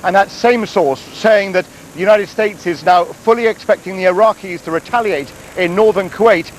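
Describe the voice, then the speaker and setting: A man's voice: high voice, moderate pace, slight background noise, rough recording. A man reporting live as a news correspondent, speaking in an emphatic way. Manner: emphatic, repeats quotes.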